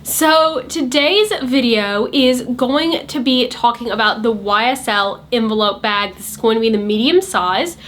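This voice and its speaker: A chatty, sassy woman walks through a handbag review in a casual, talkative way. Her voice sits a bit high and carries a light hoarseness. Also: unhurried, few pauses, fairly bright tone, a little hiss or hum. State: a little hoarse. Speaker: luxury handbag reviewer, channel host.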